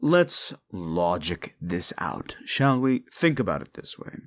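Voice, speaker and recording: A man voicing a deep, gravelly, sneering demon. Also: very animated, slow, no echo, clean studio sound, exaggerated voice. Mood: scornful. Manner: mocking, profane, taunting. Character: scornful, sneering adult male demon. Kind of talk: audio roleplay for a listener.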